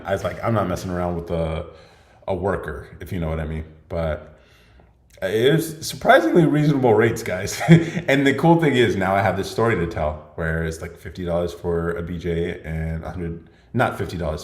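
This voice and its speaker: A man's voice: low voice, moderate pace. A young man hosting a livestream Q&A podcast, chatting casually and laughing often as he jokes. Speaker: podcast host. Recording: echoey room.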